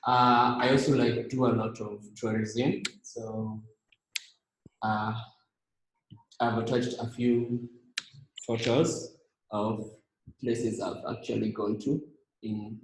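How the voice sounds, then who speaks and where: Young Kenyan guy, slow and halting, mid-range voice, echoey room. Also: mid-range voice, little pitch movement, slight background noise. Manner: halting, many fillers and restarts. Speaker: team member presenting himself. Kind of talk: casual slide presentation.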